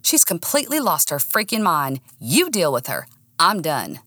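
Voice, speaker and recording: A woman's voice: deep voice, very animated, moderate pace, a bit bright, no echo, clean studio sound, heavily acted. Woman acting a cold, dismissive male cop. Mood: exasperated. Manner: icy, curt. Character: cool, dismissive male police officer, the ex-boyfriend.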